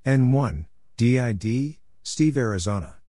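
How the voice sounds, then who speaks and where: Slow talking guy, kinda low, reading out call signs letter by letter, clipped n impersonal, super dry sound. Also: lively intonation, dark tone, no echo. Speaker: Morse code practice announcer.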